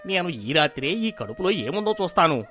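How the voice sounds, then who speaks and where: An actor playing a greedy, stubborn village husband in a dramatized moral story, speaking in an emphatic, theatrical way. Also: male voice, on the high side, sing-song, normal speed, fairly bright tone. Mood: greedy, dismissive. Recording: music behind the voice.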